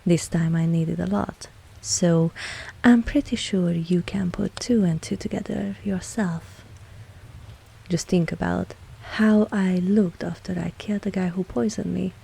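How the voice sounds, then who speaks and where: Woman acting a low, husky, commanding vampire mistress. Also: steady tone, dark tone, exaggerated voice, low-quality recording. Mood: cool, teasing. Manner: measured, intimate, coolly commanding. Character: dominant, seductive female vampire mistress, coolly protective. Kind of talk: intimate ASMR audio roleplay.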